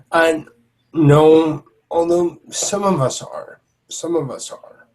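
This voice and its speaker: A man voicing a huge, gentle male troll knight who speaks with formal, soft-spoken courtesy. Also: on the high side, very slow, low-quality recording. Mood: courtly.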